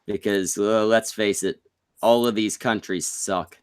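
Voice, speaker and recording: A lower-pitched man hosting a casual podcast from Canada, joking and crude in his banter. His voice jumps into high squeaks when he gets worked up. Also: steady tone, a little slow, clean tone. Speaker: podcast host.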